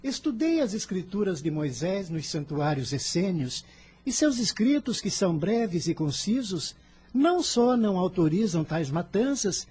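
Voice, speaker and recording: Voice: mid-range voice, very animated, measured pace, a little mellow, husky, exaggerated voice. An actor playing an earnest, solemn boy in a religious radio drama, speaking in formal, articulate phrases. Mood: respectfully firm. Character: earnest, outspoken twelve-to-fifteen-year-old boy, solemn and wise.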